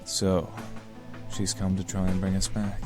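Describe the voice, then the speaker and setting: A man voicing a polite, protective demon in a visual novel, formal and measured. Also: sits low, completely flat delivery, a little mellow, faint music behind. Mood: polite, grave. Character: composed, protective male demon, polite and grave.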